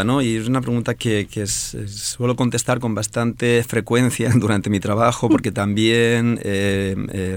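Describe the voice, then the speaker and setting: A man's voice: mid-range voice, creaky, no room sound. A man in his forties from Alicante with a Spanish accent from Spain, a Valencian native speaker answering interview questions as a professor, thoughtful and full of hesitations. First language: Valencian/Catalan.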